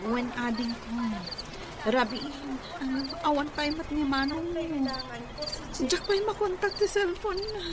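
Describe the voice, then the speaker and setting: High-voiced gentle Filipino wife. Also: female voice, a little slow. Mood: worried. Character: gentle, worried, long-suffering pregnant young wife. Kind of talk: radio drama acting. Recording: noisy radio drama track with ambience.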